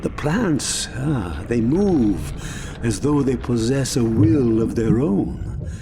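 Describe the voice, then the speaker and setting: A courteous, reverent manservant in an audio drama, with a creaky voice and halting, slow delivery. A clearly noisy ambient bed sits behind him. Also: male voice, medium pitch, big pitch swings, heavily acted. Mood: awestruck. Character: loyal, reverent, courteous manservant, full of wonder.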